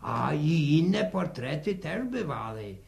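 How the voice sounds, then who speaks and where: Old polish country grandpa, rural accent, really creaky voice, slowish and stammery, bit high, 1960 radio play. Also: male voice, very animated, darkish tone, full character voice. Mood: earnest, reminiscing. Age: older. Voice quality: creaky elderly voice. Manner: hesitant, stammering and repeating words. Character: talkative, cautious old village grandfather, nostalgic for old times.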